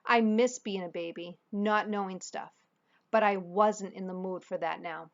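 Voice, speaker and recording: A woman reads a children's novel aloud in the role of a teacher. Her voice sits at a middling pitch. The recording is slightly dull. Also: steady tone, measured pace. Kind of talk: storybook read-aloud narration. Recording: slightly dull, muffled sound.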